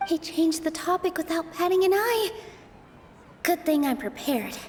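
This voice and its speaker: Brief: woman player reading on-screen text, high voice, noisy reverberant room. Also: expressive, measured pace. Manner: repetitive, reading aloud. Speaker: gameplay streamer. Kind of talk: reading game dialogue aloud while playing.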